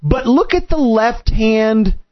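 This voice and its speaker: Male host, high and animated voice, probing and quick to cut in, dry but slightly lo-fi sound. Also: dry recording. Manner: probing, interrupting. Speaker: host questioning a guest. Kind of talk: conversational interview debate.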